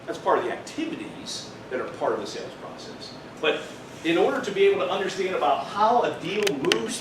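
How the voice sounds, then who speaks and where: Man, slightly high voice, seminar presenter, emphatic explanatory delivery, distant mic, noisy background. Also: very echoey. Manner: emphatic, explanatory, rhetorical questions. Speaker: business trainer, presenter.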